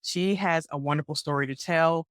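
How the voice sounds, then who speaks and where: A woman from Georgia hosting an interview podcast, animated and encouraging in casual chat. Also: low voice, normal speed, no room sound, studio quality. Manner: animated, encouraging, lots of affirming backchannels. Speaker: podcast host.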